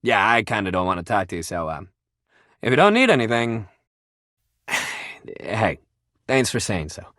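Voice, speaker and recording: An actor playing a sports-obsessed, warm-hearted young jock pal in a video game, with exuberant, slangy bro talk. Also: male voice, fairly low pitch, very animated, measured pace, long pauses, dry recording, studio quality, full character voice. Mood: cool, guarded. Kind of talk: video game character acting.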